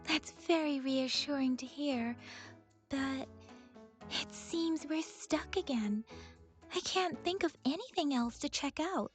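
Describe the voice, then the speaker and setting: A woman voicing a polite, thoughtful young woman companion in a video game, measured, courteous and earnest. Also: sits high, faint music behind. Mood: pensive. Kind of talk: video game dialogue acting.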